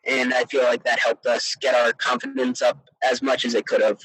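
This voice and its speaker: Husky teenage boy, hesitant and earnest. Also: medium pitch, little pitch movement, moderate pace, fairly bright tone, rough recording. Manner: hesitant, earnest, peppered with "you know". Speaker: student athlete guest. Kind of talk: casual interview answers.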